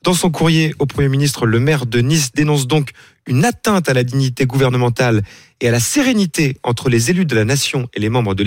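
A male history columnist on morning radio, telling old anecdotes in an easy storytelling manner with wry asides. His voice is mid-pitched and recorded very dry and close. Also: no room sound.

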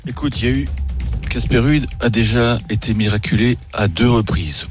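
A man's voice: medium pitch, normal speed, soft background music. A man giving a tennis report on sports radio, chatty and rambling, correcting himself as he goes. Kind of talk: casual sports radio chat.

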